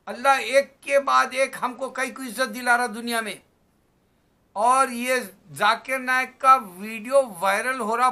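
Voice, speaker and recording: A man, giving an emphatic, exhortatory religious talk to camera in measured phrases. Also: very high-pitched, pushed and bright. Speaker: religious vlogger. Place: small indoor room at home. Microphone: webcam or phone at arm's length.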